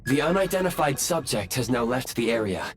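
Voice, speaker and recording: An analytical machine in the form of talking goggles reports in a formal, clinical way, voiced by a man. His pitch moves lively despite the precise wording. Faint ambient noise sits behind. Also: brisk, close to an everyday voice. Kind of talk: video game voice acting.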